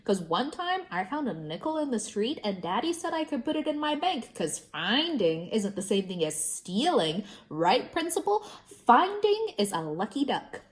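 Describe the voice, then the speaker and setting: A woman voicing an excitable little kindergarten girl who shouts in shrill, breathless outbursts. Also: moderate pace, few pauses. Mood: eager.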